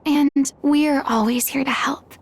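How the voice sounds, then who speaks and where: A woman's voice: high voice, fairly even intonation, takes it slowly. A woman voicing a caring, impassioned girl, a loyal best friend, in an earnest and emotional video game cutscene. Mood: tender, comforting. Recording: background music under the voice.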